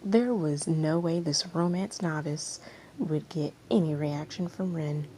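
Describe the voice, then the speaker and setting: Female story reader: slightly low, relaxed tone, expressive narration, faint noise behind the voice. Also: unhurried. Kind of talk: expressive fan fiction narration.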